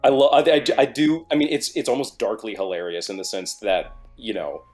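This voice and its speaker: A man's voice: lowish voice, a little fast, darkish tone, faint music behind. A man chatting casually and bluntly about his opinions as a guest on an online group call, sometimes swearing. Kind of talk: casual chat, political opinions.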